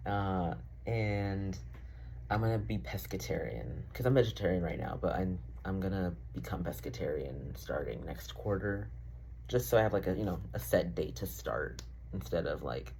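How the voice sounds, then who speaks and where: A man's voice: low voice, moderate pace, vocal fry, a little mellow, a little hiss or hum, rough recording. A young man rambling casually in a diary-style vlog, full of fillers, swearing and self-deprecating asides. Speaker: vlogger.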